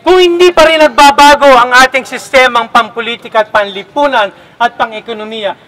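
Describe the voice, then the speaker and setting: A man's voice: very high-pitched, fairly even intonation, fairly quick, bright, forceful tone, poor audio. A man from the Philippines speaking at an outdoor protest, firing pointed rhetorical questions at the crowd.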